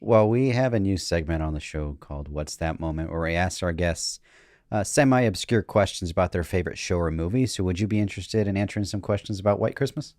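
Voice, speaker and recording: Male podcast co-host. Very deep voice, conversational interviewing, close dry recording. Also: fairly quick, a little mellow, dry recording. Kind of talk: casual interview chat.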